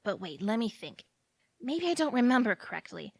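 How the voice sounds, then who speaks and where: A woman's voice: fairly high pitch, sing-song, moderate pace, creaky, no echo, full character voice. A woman acting in audio theatre as an anxious, lovelorn young woman who rambles and questions herself fretfully. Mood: anxious reasoning.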